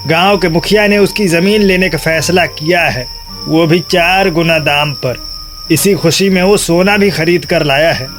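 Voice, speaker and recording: Man as greedy village crook, high pitch, conspiratorial, sly, cartoon storytelling. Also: measured pace, a bit bright, soft background music. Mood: plotting. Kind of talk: animated moral bedtime story.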